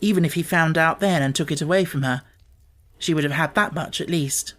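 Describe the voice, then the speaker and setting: A man narrating a children's novel as an audiobook in a measured, clearly phrased way. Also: on the high side, fairly bright tone. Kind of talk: scripted storybook narration.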